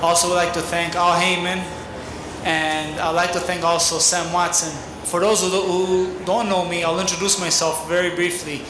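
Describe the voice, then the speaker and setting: A man's voice: sits high, little pitch movement, normal speed. A man, speaking earnestly at a podium as a boxer at a press conference. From Italy. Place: large arena press conference stage. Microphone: podium microphone. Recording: echoey hall with noise.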